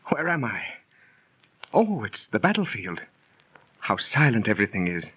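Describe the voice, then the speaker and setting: A husky, earnest ancient warrior. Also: male voice, mid-range voice, full character voice. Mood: dazed, groaning. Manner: theatrical, archaic phrasing. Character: ancient Teutonic warrior, earnest, awed battle survivor. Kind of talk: 1930s radio drama acting. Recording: muffled, lo-fi 1930s radio recording.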